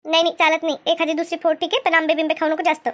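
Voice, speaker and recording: A woman voicing a chatty, good-humoured village gossip in an animated comedy, with a rural Maharashtrian accent and exclaiming, colloquial talk. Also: very high voice, racing. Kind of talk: animated cartoon comedy dialogue.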